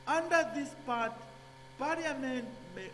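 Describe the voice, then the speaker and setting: A man giving a classroom lecture, halting with many fillers and restarts, checking in with "okay?". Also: very high voice, a bit bright. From Zambia. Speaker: nursing lecturer. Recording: echoey hall with hum.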